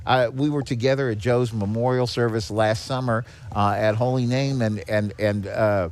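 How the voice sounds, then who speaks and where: Man, mid-pitched voice, earnest, appreciative, casual interview guest, faint ambient noise behind. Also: fairly even intonation, normal speed, a little mellow. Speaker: podcast guest, charity executive director. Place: on location at a garage. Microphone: podcast microphone with headphones.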